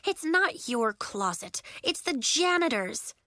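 Woman voicing a high, dramatic, snappish schoolgirl. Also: sing-song, slow, sharp tone, dry recording, clean studio sound, heavily acted.

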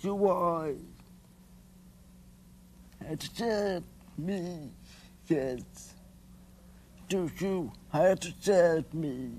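A man playing an earnest deaf professor on children's television, with imprecisely articulated deaf speech in short, halting, direct phrases. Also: on the high side, noisy background. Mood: direct, questioning.

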